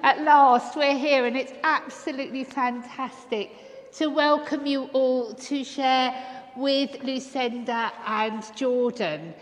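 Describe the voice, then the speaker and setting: A woman vicar leading a wedding service in a large stone church, speaking in measured liturgical phrases and guiding the couple through their vows. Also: high-pitched, fairly even intonation. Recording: long church reverb.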